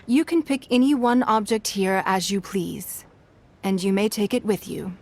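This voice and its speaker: A woman voicing a poised, shrewd female ruler in a fantasy video game, speaking in a formal, composed and measured manner. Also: mid-range voice, animated. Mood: obliging. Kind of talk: video game cutscene voice acting.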